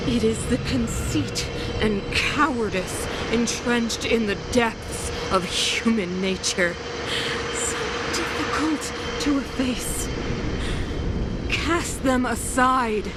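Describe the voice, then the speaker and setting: Villainous female sovereign: slow, theatrical, commanding, cold zealot; video game cutscene over noisy ambience. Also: on the high side. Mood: impassioned, fanatical. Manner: theatrical, imperious, deliberate oratory. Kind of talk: dramatic video game voice acting.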